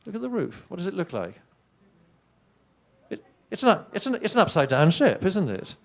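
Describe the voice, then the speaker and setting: A middle-aged man from England with an English accent, not a native speaker of English, giving a lively, chatty science presentation to an audience with wry asides. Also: high-pitched, moderate pace, gravelly. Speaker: conference speaker.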